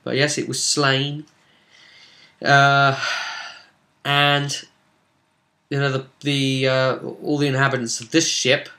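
A man's voice: mid-range voice, fairly bright tone. A man in his twenties casually retelling a game session like a story, acting out bits of dialogue, recorded in a room at home. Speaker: vlogger recapping a role-play game session. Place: small room at home, indoors.

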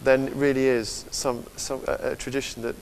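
A man in his thirties giving a thoughtful, halting interview answer on a lapel mic in a large church. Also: medium pitch, little pitch movement, poor audio. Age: 30s. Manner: halting, thoughtful phrasing with repeated words. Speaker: interviewee, website director. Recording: faint background hiss.

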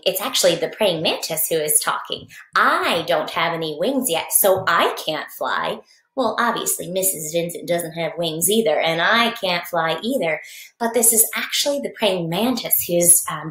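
Lower-voiced woman in an echoey room. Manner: explanatory, addresses young listeners directly. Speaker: teacher reading a picture book aloud. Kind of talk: read-aloud storytelling with explanations for children. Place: basement at home, indoors.

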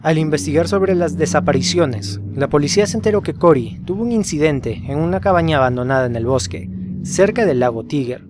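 A man's voice: fairly high pitch, animated, fairly quick, noisy background. A man narrating a scripted horror case as a storyteller, putting rhetorical questions to the listener. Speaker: narrator of a horror explainer video. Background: music behind the voice.

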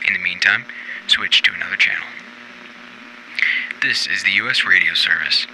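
Man acting a deep, forceful official radio announcer. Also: vocal fry, a little hiss or hum, heavily acted, poor audio. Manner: formal, repetitive bulletin delivery.

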